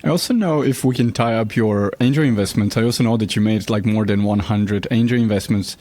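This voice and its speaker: Low-voiced man interviewing, very dry sound. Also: fairly quick, a little mellow, dry recording. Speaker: podcast host, interviewer. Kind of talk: casual interview questions.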